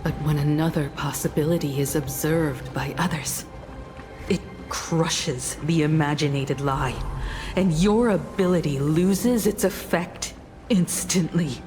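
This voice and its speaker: Slow, stern, resolute heroine. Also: female voice, low voice. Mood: accusing. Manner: deliberate, firm, confrontational, measured. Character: resolute, stern heroine, a ship's navigator facing down an enemy. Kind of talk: dramatic video game voice acting. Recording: loud game music behind. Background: game soundtrack music.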